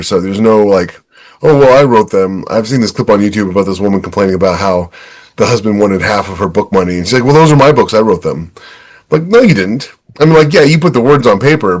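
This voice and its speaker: A man co-hosting a casual podcast, speaking emphatically and jokingly acting out bits of quoted dialogue. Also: fairly low pitch. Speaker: podcast co-host and author. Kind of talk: casual opinionated chat.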